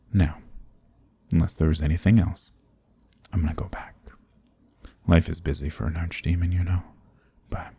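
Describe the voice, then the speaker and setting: A man voicing an ancient archdemon who is smug, amused and condescending, in a breathy, murmured dark fantasy ASMR roleplay with teasing, leisurely politeness. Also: very deep voice, no room sound, exaggerated voice.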